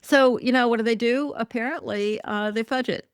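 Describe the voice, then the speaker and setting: A woman's voice: on the high side, steady tone, normal speed, clear, resonant tone, no room sound. Chatty woman podcast host, dry sound. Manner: chatty, wry, frequent ums and self-corrections. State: losing her voice. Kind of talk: casual solo commentary podcast.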